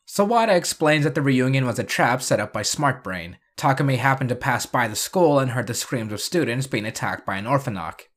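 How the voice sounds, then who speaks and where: A man's voice: mid-range voice, fairly bright tone. A man narrating a scripted video review and recap, with dry, sarcastic asides.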